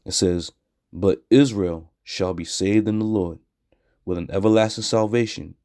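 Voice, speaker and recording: A hoarse man teaching scripture in a blunt, scornful way, with a frequent "all right?". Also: on the low side, measured pace, warm tone, no room sound, clean studio sound. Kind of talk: street preaching scripture lesson.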